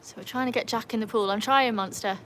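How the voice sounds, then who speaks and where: A woman's voice: fairly high pitch, steady tone, fast talker, a bit bright, slight background noise. A woman from England with an English accent, chatting casually through a livestream by her backyard pool and calling out to her dogs. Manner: chatty, laughs often, calls to her dogs. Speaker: livestream host.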